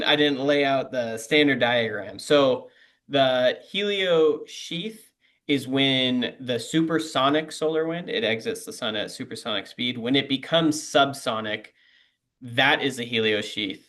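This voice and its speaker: A man's voice: mid-range voice, unhurried, fairly bright tone. Man explaining space physics plainly. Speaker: space science panelist. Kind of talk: explaining to a webinar audience. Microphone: video call.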